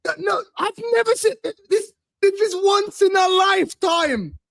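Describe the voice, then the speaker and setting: High, excitable Texan-accented man. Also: a little slow, vocal fry, dry recording. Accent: Texan American. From Texas, USA. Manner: excitable, loud, exclaiming in disbelief. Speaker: podcast co-host. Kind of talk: casual football chat.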